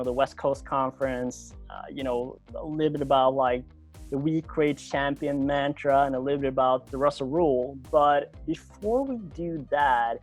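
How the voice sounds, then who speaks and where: A man hosting a podcast interview over a video call, speaking English as a second language, halting, with many fillers and restarts. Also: mid-range voice. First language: not English. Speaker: podcast host.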